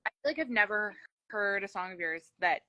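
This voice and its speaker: A woman's voice: medium pitch, animated, brisk, bright, forceful tone, dry recording, poor audio. A woman hosting a casual, chatty interview, effusive and self-deprecating, rambling a little, with a slight lisp. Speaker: interview host.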